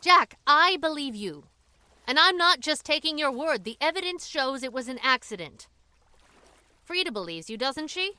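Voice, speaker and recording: A woman's voice: high-pitched, very animated, normal speed, pushed and bright, dry recording, heavily acted. A woman acting in a radio drama as a feisty, plain-spoken woman who pleads earnestly and urgently. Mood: earnest persuasion.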